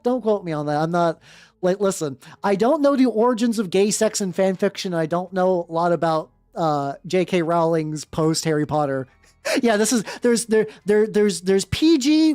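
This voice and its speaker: A woman's voice: medium pitch, darkish tone, no echo. A woman streamer reading fan fiction dramatically, breaking in with wry joking asides. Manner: theatrical, self-interrupting, wry commentary. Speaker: streamer, host. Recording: dry close sound with music behind. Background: background music.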